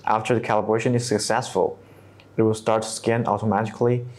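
A man in his thirties narrating a scripted software tutorial step by step, with non-native English phrasing. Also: fairly low pitch, little pitch movement, quick pace. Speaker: tutorial presenter.

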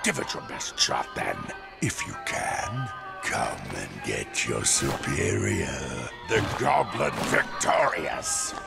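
An actor playing a monstrous goblin crime lord in a video game, gravelly, grandiose, taunting and menacing. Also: male voice, sits low, wildly swooping pitch, slow, exaggerated voice. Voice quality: gravelly, guttural. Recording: loud music behind the voice.

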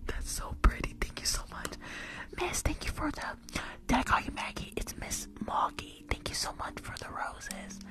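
A young woman in her twenties whispers breathily and affectionately to live stream viewers, close to the microphone, often repeating words in an ASMR style. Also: moderate pace. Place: small room at home, indoors. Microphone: close furry-windscreen microphone.

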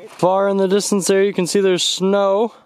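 A man's voice: sits high, level tone, normal speed. A young man in a casual travel vlog, chatting upbeat to a camera held at arm's length while hiking outdoors. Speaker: travel vlogger. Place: outdoors on trails and coastal lookouts.

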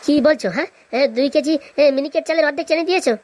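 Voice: high voice, steady tone, racing, no echo, lightly acted. A performer voicing bossy, hot-tempered cartoon villagers who scold and quarrel in colloquial speech. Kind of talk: comic cartoon dialogue.

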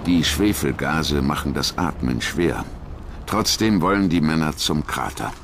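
A man's voice: extremely low pitch, unhurried, noisy background. A man narrating a documentary in a scripted style.

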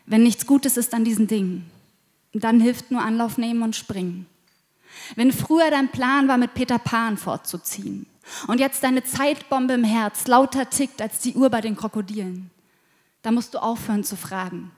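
A young woman with a fairly high voice recites rhymed slam poetry. She is earnest and rhythmic, and the hall adds a long reverb. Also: moderate pace, a little mellow. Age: 20s. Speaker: slam poet. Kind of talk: rhymed spoken-word poetry. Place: live stage in an indoor event hall. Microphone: mic on stand.

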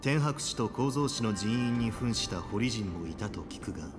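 An actor playing a composed, authoritative military official in a Japanese video game, speaking solemnly and deliberately in formal language. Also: male voice, lowish voice, animated, natural voice. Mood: grave, composed. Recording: game music and background noise. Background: game music.

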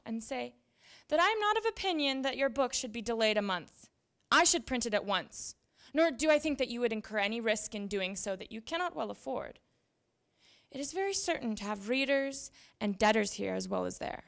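A young woman giving a dramatic reading of historical letters in deliberate, formal phrasing, on a handheld microphone in an event room. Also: fairly high pitch, creaky, fairly bright tone. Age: young adult. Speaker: reader at a library event. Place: indoor event room with small audience.

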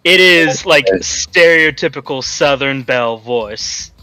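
A man's voice: on the high side, takes it slowly, bright, forceful tone, clean tone, no room sound, faint music behind, low-quality recording. A man chatting and joking casually with his raid group over voice chat. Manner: joking, playful. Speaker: raid party member on voice chat.

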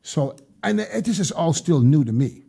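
A man's voice: fairly high pitch, expressive, moderate pace, vocal fry, mellow, slightly rough. A middle-aged man hosting a podcast, telling a story in a rambling way that is full of "you know", recorded in a studio on a close mic. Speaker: podcast host. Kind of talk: casual storytelling. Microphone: studio mic with headphones.

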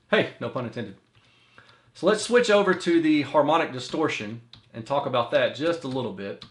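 A man's voice: medium pitch, fairly bright tone. American man explaining in an echoey room. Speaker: audio reviewer and host. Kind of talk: explanatory product review to camera. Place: small indoor home office, seated. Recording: roomy echo of a small room.

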